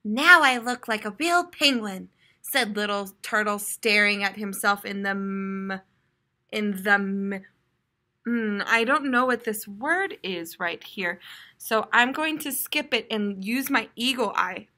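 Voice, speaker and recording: An American woman in her twenties, a teacher reading aloud to young children in an expressive, explanatory way. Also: mid-range voice, slow pace. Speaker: primary school teacher. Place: classroom, indoors.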